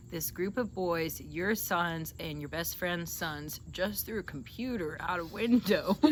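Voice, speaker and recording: A young woman chatting casually and telling stories in an animated, teasing, joking way. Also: medium pitch. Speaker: host interviewing a sibling. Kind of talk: casual chat and storytelling. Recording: noisy, with clear background noise.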